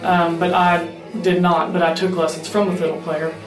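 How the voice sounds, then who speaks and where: Young woman, earnest rambling talk, flat delivery, music clearly in background. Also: fairly low pitch, moderate pace. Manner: earnest, rambling, with frequent fillers. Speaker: bluegrass mandolin player and singer. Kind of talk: casual interview answers. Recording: roomy echo with music behind.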